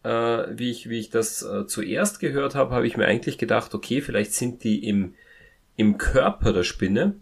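A man in a casual podcast discussion, speaking haltingly as he searches for his words. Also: medium pitch, lively intonation, gravelly, slightly rough.

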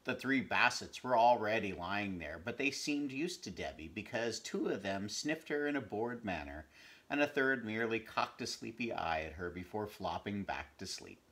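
An older man reads a storybook aloud in a measured, expressive way, recorded at home in a small room. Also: lowish voice. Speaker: storybook reader. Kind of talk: read-aloud storybook narration. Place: small home bedroom, indoors.

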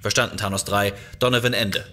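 A man's voice: on the low side, fairly even intonation, brisk, natural voice. A man playing an easygoing spaceship captain in a science-fiction radio play. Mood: official. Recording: music behind the voice.

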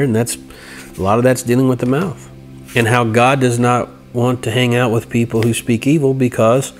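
A man in his fifties giving an informal devotional lesson, reading aloud and explaining in a halting way, often correcting himself. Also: mid-range voice. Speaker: devotional teacher and host. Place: indoor room in front of a large window. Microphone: lavalier clipped to shirt.

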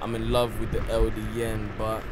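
A man's voice: on the low side, monotone, normal speed, heavy background noise. A man rapping a repetitive chanted hook. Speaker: rapper. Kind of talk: rap performance. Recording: loud hip hop backing track.

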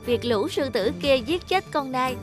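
Woman as a high-voiced, eager little tree sprite. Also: expressive, measured pace, music in the background. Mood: earnest, concerned. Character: earnest, naive young tree sprite, eager and tender-hearted. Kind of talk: cartoon character acting.

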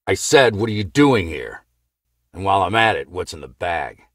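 An actor playing an angry, suspicious, bullying enforcer in a video game, with a rough, gravelly voice. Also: male voice, deep voice, big pitch swings, moderate pace, no echo, studio quality.

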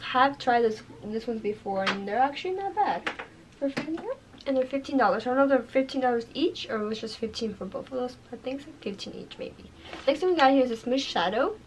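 A young woman in her twenties, a bit hoarse from losing her voice, chatting casually as she unboxes and reviews makeup at home, filling her talk with "like" and "you guys". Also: a little high, a little hiss or hum, rough recording. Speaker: beauty vlogger. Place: bedroom with makeup shelves, indoors.

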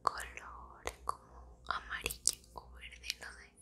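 Young mexican girl in her 20s doing asmr, slow breathy whisper right up on the mic, casual chatty. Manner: soft-spoken, intimate, unhurried. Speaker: ASMR video creator. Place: small indoor room at home. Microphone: close microphone.

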